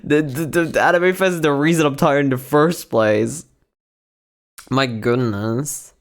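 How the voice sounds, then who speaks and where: A young man with a worn-out, hoarse voice, chatting casually and profanely to his stream from his bedroom, with lots of restarts and slang. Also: medium pitch, unhurried. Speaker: VTuber streamer. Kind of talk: casual livestream chat. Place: own bedroom.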